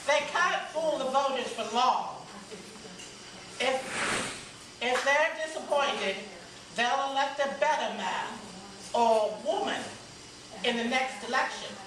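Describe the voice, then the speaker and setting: A woman's voice: high-pitched, slow, long pauses. A woman playing a young, thoughtful male president in a readers' theater stage reading. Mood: confident. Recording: echoey room, distant mic, noisy.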